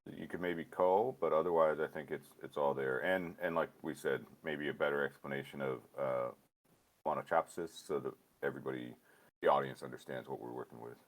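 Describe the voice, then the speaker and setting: A man co-hosting an online photography feedback session in casual chat, measured and thoughtful, heard over a voice call. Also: rumbling low. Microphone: voice chat on a computer.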